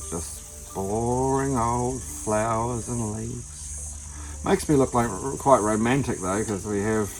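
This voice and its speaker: A man with a New Zealand accent, casually chatting about his tortoises in his garden, in a self-described monotone that drifts off topic. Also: lowish voice, a little hiss or hum, rough recording. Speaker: tortoise keeper and channel host. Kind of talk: casual rambling vlog. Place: outdoor garden with tortoise enclosure.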